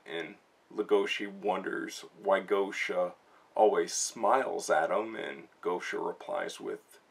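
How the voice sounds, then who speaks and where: Low-voiced man chatting through a manga review. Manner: chatty, rambling, openly fond and enthusiastic about the story. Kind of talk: casual manga review vlog talk.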